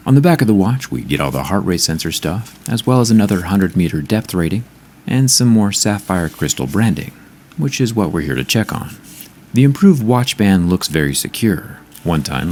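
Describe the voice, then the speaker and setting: A man's voice: low voice, a little mellow, a little hiss or hum. A man narrating a scripted tech review in an upbeat, explanatory way, with background music playing. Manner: upbeat, explanatory, product-testing tone.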